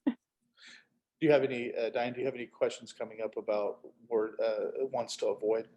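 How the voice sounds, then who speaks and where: A man's voice: mid-range voice, fairly even intonation, normal speed, warm tone. A man giving conversational publishing advice in an emphatic, advisory manner. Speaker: publishing adviser, discussion participant.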